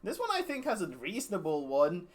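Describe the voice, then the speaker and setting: Rambling male game streamer, a bit high. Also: a little fast, husky. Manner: rambling, relaxed, self-deprecating. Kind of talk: casual game stream commentary.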